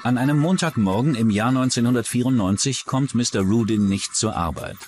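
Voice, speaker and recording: A man's voice: mid-range voice, a little fast, music in the background. A man reading scripted true-crime documentary narration as the narrator.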